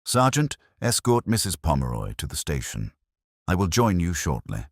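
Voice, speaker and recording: Man as measured police inspector, very low husky voice, gentle probing manner, mystery story. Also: big pitch swings, no room sound, studio quality. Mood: calm, probing. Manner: gentle, deliberate questioning.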